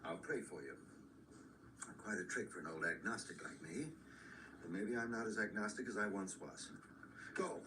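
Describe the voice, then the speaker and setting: An actor playing a solemn older scholarly man in a radio drama, instructing vampire hunters in a deliberate, didactic way. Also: lowish voice, lightly acted. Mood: urgent, grave. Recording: distant, noisy replay of radio broadcast.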